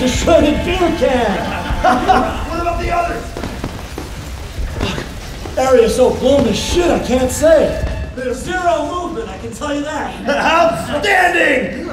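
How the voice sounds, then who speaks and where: An actor playing a brash, foul-mouthed Marine squad leader in combat, excitable and given to shouting. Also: male voice, very high voice. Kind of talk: military audio drama acting. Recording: roomy, very noisy battlefield ambience.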